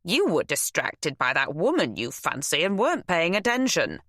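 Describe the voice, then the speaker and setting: A woman's voice: low voice, wildly swooping pitch, normal speed, a bit bright, no echo, studio quality, heavily acted. Woman putting on a nosy older shopkeeper. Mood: huffy. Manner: chatty, insistent gossip.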